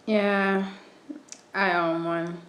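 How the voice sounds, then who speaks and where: A woman's voice: fairly low pitch, flat delivery, very slow, a bit bright. Woman as a guarded young student, echoey room. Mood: uneasy. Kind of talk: radio drama dialogue. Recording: echoey room, music behind.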